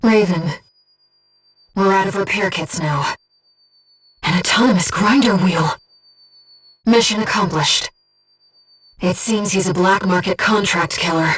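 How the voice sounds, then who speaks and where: A disembodied female companion from a mech video game, guiding her pilot as mission operator. Her medium-pitched voice is measured and precise, with soft, devoted earnestness. She sounds slightly distant, with music behind her. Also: husky. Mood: calm, earnest.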